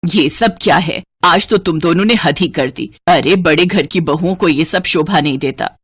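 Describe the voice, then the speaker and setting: A strict, caring older mother-in-law played by a woman in a cartoon tale. Her voice sits lower, measured and admonishing. The recording is close and dry. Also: slightly rough, no room sound. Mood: dismayed. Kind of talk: acted cartoon story dialogue.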